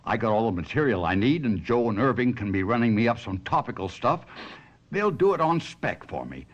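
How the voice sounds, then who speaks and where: A man's voice: mid-range voice, sing-song, brisk. An actor playing a devoted but restless husband, a ventriloquist telling his own story in a 1970s radio drama. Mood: earnest, reassuring. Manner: dramatic, reflective first-person storytelling. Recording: muffled radio broadcast, music behind.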